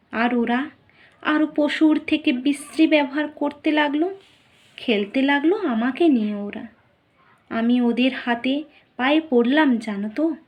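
The ghost of a murdered young woman doctor, voiced by a woman, grieves and pleads with her mother. Her voice sits high and moves slowly and tearfully, often sinking into a whisper. It is a dramatic first-person monologue. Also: dull audio. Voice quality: slow, tearful, breaking into whispers.

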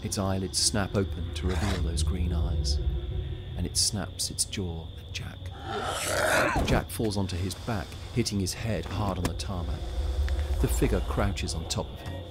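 A man with a low voice delivers scripted audio drama narration. Clear music plays behind him as he tells the story. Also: steady tone, a little slow.

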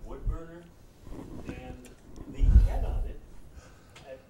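A man in the audience asking a rambling, informal question. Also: slow pace. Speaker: audience member. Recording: distant, roomy, noisy.

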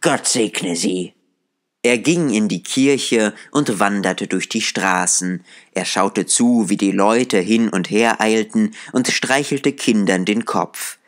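A man narrating an audiobook in a calm, measured storytelling voice. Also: lowish voice. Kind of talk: scripted narration.

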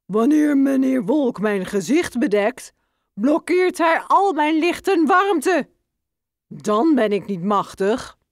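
A woman's voice: high-pitched, very animated, slow, no room sound, clean studio sound, pitched above the performer's own voice, full character voice. Woman playing a modest storybook sun. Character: surprised modest male sun.

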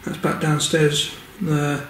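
Higher-voiced man in echoey rooms. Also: level tone, takes it slowly, a bit bright. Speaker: paranormal explorer, channel host. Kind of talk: casual exploring vlog narration. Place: indoors, walking through empty derelict building. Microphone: handheld camera. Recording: echoey empty rooms, background noise.

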